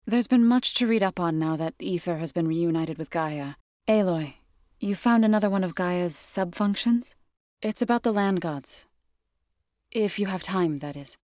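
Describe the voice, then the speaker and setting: Low-pitched, earnest warrior woman, played by an actress. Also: normal speed, a little mellow, dry recording, studio quality, natural voice. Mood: resolute, thoughtful, then subdued, grave. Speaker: video game character. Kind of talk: scripted video game dialogue.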